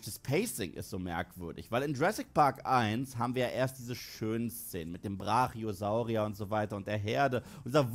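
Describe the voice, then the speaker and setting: A man giving a comic film review to camera, incredulous and sarcastic, acting out imagined dialogue. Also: on the low side.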